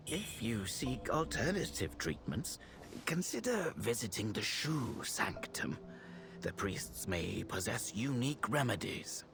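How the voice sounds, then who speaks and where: Game role: solemn male temple healer. Compassionate, learned, formal diction, unhurried pace, very expressive intonation. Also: lowish voice, creaky, full character voice. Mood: concerned, measured. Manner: solemn, formal, thoughtful, old-fashioned diction. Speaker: video game character voice actor. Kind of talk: scripted game dialogue, dramatic and measured.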